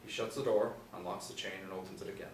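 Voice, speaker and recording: Low-pitched man narrating in an echoey room. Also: steady tone, quick pace. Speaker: stage directions narrator. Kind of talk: scripted narration at a table read. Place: small indoor room, seated reading. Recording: echoey room, distant mic, faint noise.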